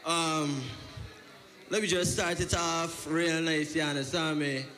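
A man performs a spoken-word piece that sounds like a relaxed street story. His voice sits fairly high for a man. He keeps an easy, conversational flow. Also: slow. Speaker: performance poet.

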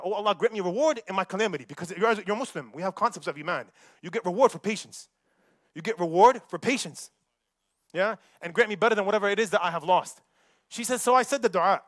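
A young man giving a Friday sermon in a mosque, preaching in an animated way and mixing recited verses with casual asides. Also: high-pitched, no room sound, studio quality. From United States.

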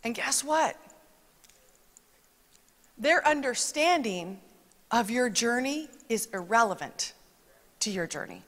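A woman's voice: on the high side, expressive, takes it slowly, pauses often, fairly bright tone. A woman preaching a conversational sermon, emphatic and chatty, with asides that quote other people. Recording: long reverb of a large hall.

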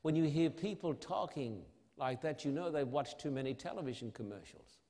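A man preaching an evangelistic sermon in a solemn, emphatic manner, with dramatic pauses and rhetorical questions. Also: mid-range voice, lively intonation, dark tone. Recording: long hall reverb.

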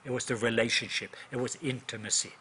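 A man's voice: mid-range voice, steady tone, quick pace, bright, forceful tone, slight background noise. A man in his fifties from Norway with a Norwegian accent, speaking conversationally as an interview guest about his own experience. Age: 50s. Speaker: guest, minister and author.

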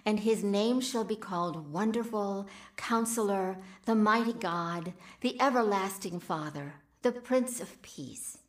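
Echoey hall, actress as kindly mission woman, medium pitch, devout, measured, radio drama. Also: natural voice. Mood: reverent. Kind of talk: radio drama acting, scripture reading.

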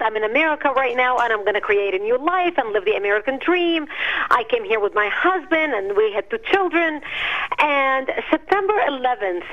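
A woman's voice: fairly high pitch, dull audio. A woman in her fifties from Lebanon, an Arabic speaker, answering interview questions in an earnest, emphatic way. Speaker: guest.

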